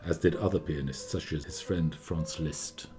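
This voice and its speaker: A man playing a confiding, measured storyteller narrating history in a scripted radio play. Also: a bass voice. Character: discreetly gossiping male narrator recounting a composer's history. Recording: studio radio play with music behind. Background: music.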